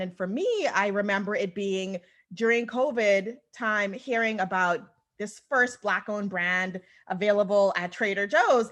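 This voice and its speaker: A woman from the Bahamas hosting a podcast interview, enthusiastic and quick to laugh, over a video call. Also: mid-range voice, a bit bright. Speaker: podcast host. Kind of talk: casual interview chat.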